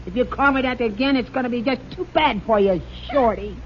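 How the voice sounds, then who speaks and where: A man's voice: very high voice, brisk. A man playing a bumbling, blustery father in a 1950 radio sitcom, stammering and flustered. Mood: mock tough. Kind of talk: 1950 radio situation comedy acting. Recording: dull, hissy old radio recording.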